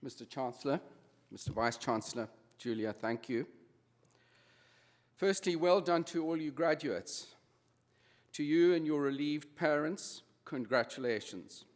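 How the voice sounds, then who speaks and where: A man in his sixties with a South African accent, giving a formal convocation address in a measured, slightly nervous way. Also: fairly high pitch, animated. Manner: measured, slightly nervous delivery with small restarts. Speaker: honorary degree recipient giving convocation address. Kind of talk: formal ceremony speech. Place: large ceremony hall. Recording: long hall reverb.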